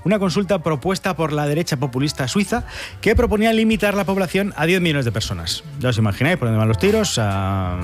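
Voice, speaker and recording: Host, man, Spain Spanish accent, rapid sardonic news patter, slightly high voice, faint music under. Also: sing-song. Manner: sarcastic, joking asides. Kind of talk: satirical news monologue.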